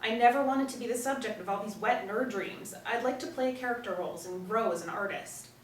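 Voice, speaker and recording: A woman's voice: on the high side, brisk, close to an everyday voice. Woman reading as young actress, distant roomy sound. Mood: weary, placating. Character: young actress Anna, weary then frightened and insistent. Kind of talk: script read aloud at a table reading. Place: small indoor room, seated group. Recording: roomy, distant mic with faint noise.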